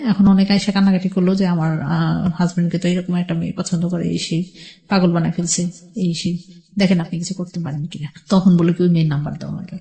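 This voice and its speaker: A woman's voice: medium pitch, warm tone. Bangladeshi woman recounting her life story. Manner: conversational, quotes past exchanges as she narrates. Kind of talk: personal storytelling in a radio interview.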